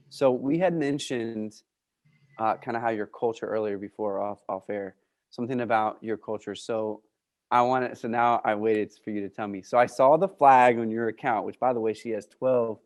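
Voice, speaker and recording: A man hosting an interview in a casual, slangy way, with playful, theatrical reactions. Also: on the low side. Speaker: interview host, realtor.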